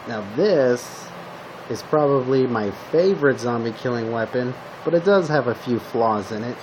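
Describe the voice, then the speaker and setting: Male, mid-range voice, calm survivor role in an improvised roleplay, unhurried short fragments, clear hum on a homemade recording. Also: mid-range voice. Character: calm male zombie apocalypse survivor giving weapon tips. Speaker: roleplay video creator playing a zombie survivor. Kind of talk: casual improvised roleplay monologue to the listener.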